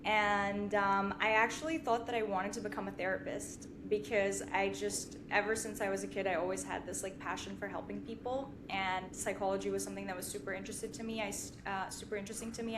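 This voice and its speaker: A woman's voice: medium pitch, level tone, a little fast, hardly any pauses, noisy background. A woman in her twenties from Syria, chatting informally as a podcast guest and often dropping in "like". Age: 20s. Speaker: podcast guest, finance company partner. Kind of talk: casual conversational interview.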